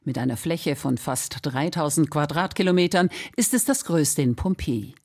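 Low-voiced woman narrating a feature. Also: normal speed, a little mellow. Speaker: reporter and narrator. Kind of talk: scripted radio feature narration.

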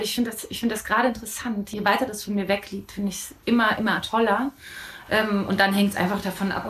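A woman actress answers interview questions eagerly and laughs easily. The recording sounds roomy and echoey, with faint noise in the background. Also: medium pitch. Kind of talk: interview conversation. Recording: echoey room with light noise.

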